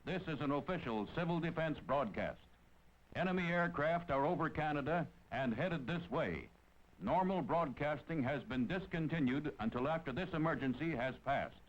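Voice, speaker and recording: A man reading an official emergency radio broadcast in a crisp, commanding announcer style. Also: fairly high pitch, expressive, normal speed. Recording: dull old radio broadcast with faint hiss.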